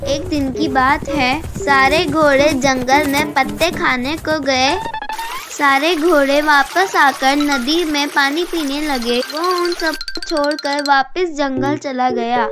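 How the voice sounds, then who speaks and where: A high-voiced woman narrates a moral tale at a slow pace, reading as a storyteller. Music is clearly audible behind her. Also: fairly even intonation.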